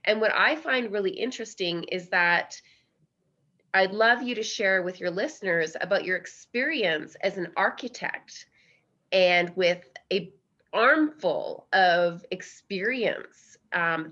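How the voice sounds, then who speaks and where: A woman's voice: mid-range voice, sharp tone, dull audio. A woman hosting a podcast, asking questions in a conversational way and thinking aloud with small fillers. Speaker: podcast host. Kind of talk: interview questions.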